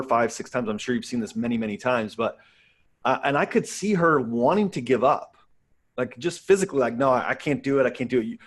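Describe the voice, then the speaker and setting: Guy podcast host just chatting, earnest and emphatic, mid-range voice. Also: mid-range voice. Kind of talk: casual interview chat.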